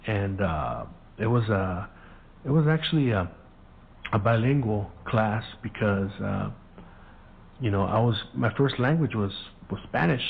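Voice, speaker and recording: A man's voice: fairly low pitch, animated, measured pace, gravelly, slightly rough. A middle-aged man telling stories in a casual monologue, full of profane street slang, acting out the voices of people he quotes. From Southern California. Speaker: podcast host. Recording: phone-quality audio.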